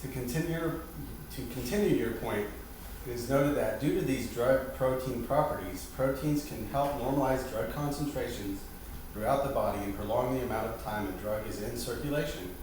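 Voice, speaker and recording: A man's voice: medium pitch, moderate pace. A man reading out a scripted educational presentation in a formal, instructional manner, as the one leading the lesson. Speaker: lesson presenter quizzing a partner. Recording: very echoey, distant mic, noisy background.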